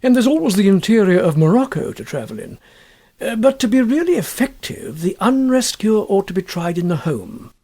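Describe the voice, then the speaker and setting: A man voicing a confident gentleman friend who gives droll advice in a matter-of-fact way in a storybook. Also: high voice, sing-song, moderate pace, pitched above the performer's own voice, exaggerated voice. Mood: droll, assured.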